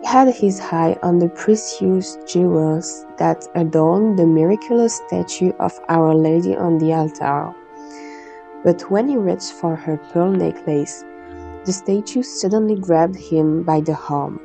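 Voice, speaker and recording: A woman hosting a podcast, chatting in a relaxed, meandering way and reading a legend aloud. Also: lowish voice, measured pace, gravelly, soft background music, low-quality recording. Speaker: podcast host.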